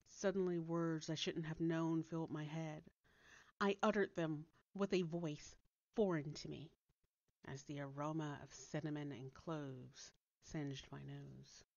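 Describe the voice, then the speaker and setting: Slow, low-voiced woman storyteller. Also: dry recording, muffled recording. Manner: deliberate, theatrical, dropping to hushed tones. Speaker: author reading own story. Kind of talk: dramatic scripted storytelling narration. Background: soft background music.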